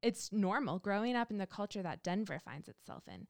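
A woman's voice: medium pitch, expressive, brisk, vocal fry, darkish tone, dry recording. A woman pastor who grew up in Canada, speaking casually in a panel discussion with light self-deprecating humor.

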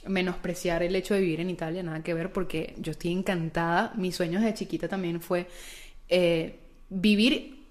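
A woman's voice: on the low side, steady tone, normal speed. A Venezuelan woman with a Venezuelan Spanish accent, chatting casually and playfully as a podcast co-host, with lots of informal slang. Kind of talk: casual, gossipy podcast chat.

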